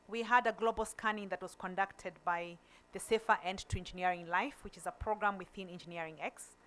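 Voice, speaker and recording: A woman's voice: medium pitch, fairly bright tone. Woman giving a conference talk. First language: Kamba. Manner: deliberate and slow, with fillers and restarts. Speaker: conference speaker. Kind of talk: conference presentation.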